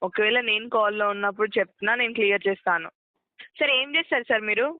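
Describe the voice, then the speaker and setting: A woman's voice: mid-range voice, fast, dry recording. A woman working as a customer care agent, giving step-by-step instructions over the phone, often repeating herself and checking that she is understood. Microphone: telephone call. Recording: narrow, tinny phone line.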